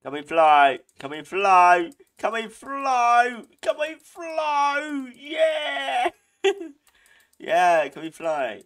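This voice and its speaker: A man hosting a gaming channel, giving excitable play-by-play commentary, often laughing and yelping in alarm. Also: extremely high pitch, extremely slow. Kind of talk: live gameplay commentary.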